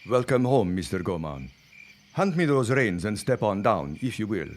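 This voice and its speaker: An actor playing a deferential, grave farm servant in a period audio drama, hushed and respectful. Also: male voice, lowish voice, big pitch swings, moderate pace, background noise. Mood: courteous. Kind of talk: period radio drama acting.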